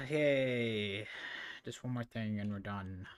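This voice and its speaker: Male streamer for casual live gameplay commentary, medium pitch, loose and rambling delivery. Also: very animated, extremely slow, clear, resonant tone. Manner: rambling, thinking aloud.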